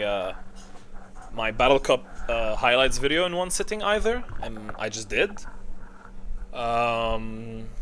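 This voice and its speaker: A man with a mid-range voice rambles casually through a ranking as a video creator. He thinks aloud, posing questions and answering them himself. Clear background noise sits under the recording. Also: mid-range voice, fairly bright tone. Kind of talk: casual rambling tier-list commentary.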